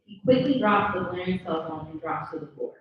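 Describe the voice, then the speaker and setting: A woman's voice: on the low side, animated, brisk, a little hiss or hum. A woman reading stage directions as the narrator of a live script table read. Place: live event in a room. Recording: very echoey room, distant, slightly dull.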